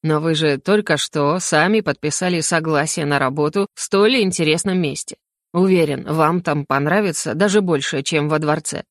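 A woman voicing a smug, sarcastic male mage official in an audiobook, with a slow, mock-courteous, sneering delivery. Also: low-pitched, dry recording, studio quality, voice pushed down. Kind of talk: audiobook character acting.

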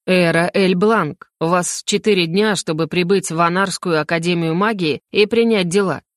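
A woman voicing a smug, sarcastic male mage official in an audiobook, with a slow, mock-courteous, sneering delivery. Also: fairly low pitch, no echo, clean studio sound, natural voice. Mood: curt, smug. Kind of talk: audiobook character acting.

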